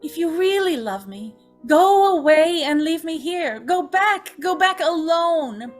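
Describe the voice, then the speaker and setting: A woman's voice: very high-pitched, animated, slow, soft background music, exaggerated voice. A woman playing a tormented, pleading young woman, tearful and melodramatic in an old-time radio drama. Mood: tearful begging. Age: young adult. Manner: tearful, breathlessly emotional, melodramatic.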